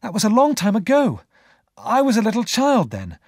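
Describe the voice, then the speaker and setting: A man voicing a polite, guarded young gentleman in his twenties in an audiobook, formal and emphatic. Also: sits high, huge pitch swings, moderate pace, no room sound, studio quality, voice pushed up, full character voice. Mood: firm, uneasy.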